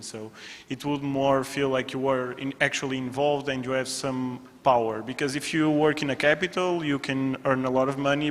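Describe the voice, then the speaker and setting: A man presenting a student project at a conference, speaking evenly with some hesitant fillers. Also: medium pitch. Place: conference hall. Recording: long hall reverb.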